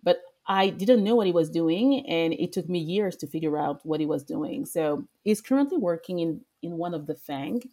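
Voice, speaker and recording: Guest, woman in her thirties, French accent, darker lower tone, hesitant. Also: lively intonation, moderate pace, hardly any pauses, dark tone. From France. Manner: hesitant, frequent fillers and restarts. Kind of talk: podcast interview.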